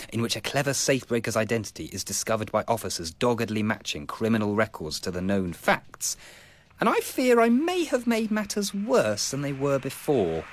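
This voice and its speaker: A man's voice: lowish voice, dry recording. An actor playing an earnest, proper young British policeman, narrating a letter home in a radio comedy-drama.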